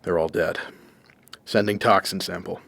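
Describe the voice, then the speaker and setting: Grim masked detective, low-voiced, clipped and stern, for radio drama. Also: male voice, steady tone, moderate pace, gravelly, fairly bright tone, full character voice. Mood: grim, urgent.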